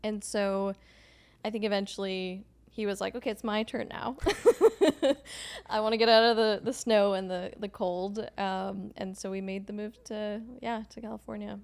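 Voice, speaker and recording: Gravelly-voiced Swedish-born woman, hesitant. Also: mid-range voice, flat delivery, normal speed. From Sweden. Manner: thoughtful, hesitant, many ums and likes, laughs often. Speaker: podcast guest. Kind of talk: casual conversational interview.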